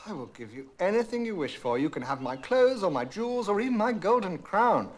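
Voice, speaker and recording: An eager young princess from a fairytale, played by a man reading aloud. The pitch is pushed high and leaps about dramatically. The delivery is pleading and emphatic. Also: very animated, a little fast. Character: young princess, lively, tearful, eager, spoiled.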